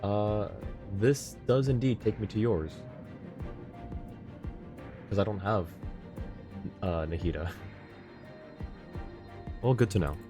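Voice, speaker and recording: A man's voice: lowish voice, takes it slowly, pauses often. A man giving casual gameplay commentary as a livestreamer, muttering to himself. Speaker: game livestreamer. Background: game music.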